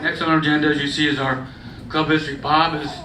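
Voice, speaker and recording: A man's voice: fairly high pitch, flat delivery, fast. A man acting as master of ceremonies at a club gala, running through the agenda in a folksy, joking way while losing his voice. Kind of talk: emceeing a gala program. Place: large banquet hall. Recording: echoey hall, far-off mic, crowd noise.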